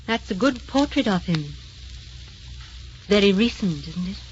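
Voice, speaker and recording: Proud wronged wife, muffled old radio. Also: female voice, medium pitch, animated, slow pace, faint music behind. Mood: reserved. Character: Lady Nelson, proud, wronged, resigned wife. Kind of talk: old-time radio drama acting.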